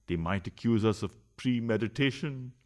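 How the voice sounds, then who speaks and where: A man's voice: mid-range voice, moderate pace, close to an everyday voice. A man acting a pompous, scheming adulterous politician husband in a farcical radio play, with a sarcastic, theatrical delivery. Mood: scheming, smooth.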